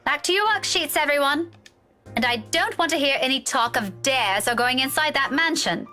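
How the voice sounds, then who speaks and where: A woman's voice: high voice, lively intonation, normal speed, bright, forceful tone, soft background music. A woman playing a stern but caring young schoolteacher in a video game, speaking with firm authority. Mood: firm, strict. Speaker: voice actor in a visual novel. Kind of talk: dramatic game dialogue.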